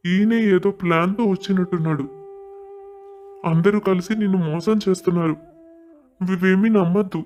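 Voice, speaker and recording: A man's voice: high-pitched, a little mellow, highs cut off, natural voice. A man playing a scheming, defensive uncle in a scripted audio story drama. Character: scheming uncle defensively denying his crimes.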